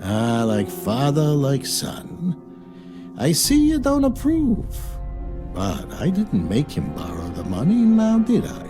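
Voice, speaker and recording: A man's voice: a little high, huge pitch swings, over music, exaggerated voice. A man playing a sly, smug loan shark in a video game cutscene, insinuating and falsely courteous. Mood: smug, taunting. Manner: unhurried, insinuating, mock-polite.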